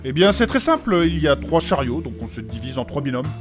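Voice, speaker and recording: A man playing a prim, skinny, courteous gentleman in a comic fantasy audio drama, with formal and fussy speech. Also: fairly high pitch, very animated, fast talker. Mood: organising. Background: music.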